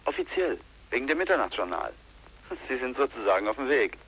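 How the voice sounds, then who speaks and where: An actor playing an apologetic, probing man in a radio drama, formal and hesitant, with a husky, muted voice. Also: mid-range voice, very animated, fairly quick, a bit bright, slight background noise, low-quality recording. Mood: polite, cautious. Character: apologetic, probing man making an awkward request. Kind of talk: 1980s German radio drama acting.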